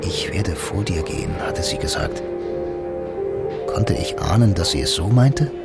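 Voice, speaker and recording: Laconic blind man telling his noir story, low voice, dry deadpan, music under it. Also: measured pace, creaky, full character voice. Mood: brooding, reflective. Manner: deadpan, dryly ironic. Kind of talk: scripted first-person radio play narration. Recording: music behind the voice.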